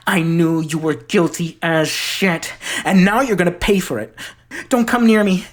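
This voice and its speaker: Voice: mid-range voice, unhurried, close to an everyday voice. A performer reciting as a defiant, anxious young woman with an earnest, accusing manner. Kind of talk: dramatic recitation of a film scene.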